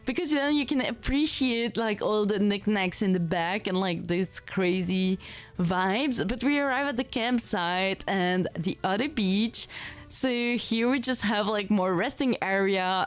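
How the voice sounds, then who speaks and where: Casual, chatty woman giving a game tour. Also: medium pitch. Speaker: gaming content creator giving a tour.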